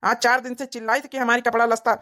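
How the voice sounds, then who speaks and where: Woman voicing a high-pitched, fed-up Awadhi husband. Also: little pitch movement, fast, a bit bright, natural voice. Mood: nagging. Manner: complaining, long-suffering, scolding. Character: fed-up working village husband nagging his wife. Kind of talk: comedy cartoon dialogue.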